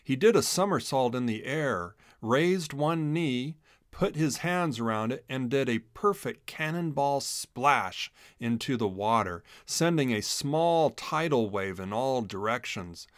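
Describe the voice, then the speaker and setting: A man reading a children's storybook aloud in an expressive, dramatic narrating style. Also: mid-range voice, a little slow, no room sound, studio quality. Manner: animated, dramatic delivery. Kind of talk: expressive scripted narration for a read-along storybook.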